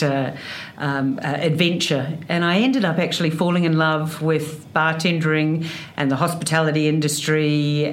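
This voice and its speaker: Low-voiced New Zealand woman, halting. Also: slight background noise. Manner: hesitant, many fillers. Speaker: hotel executive guest. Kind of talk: interview answers.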